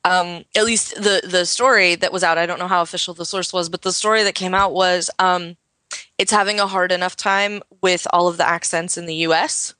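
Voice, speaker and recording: A woman's voice: lowish voice, fairly even intonation, a little fast, pushed and bright, dry recording. An American woman co-hosting a casual tech podcast, explaining her views at length. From United States. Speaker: podcast co-host.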